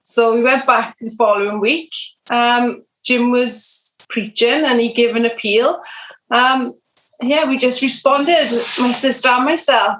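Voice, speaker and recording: A woman's voice: a little high, unhurried, fairly bright tone. A Welsh woman from South Wales, a podcast guest telling her faith story in a rambling way, full of ums. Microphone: video call. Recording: echoey room over video call.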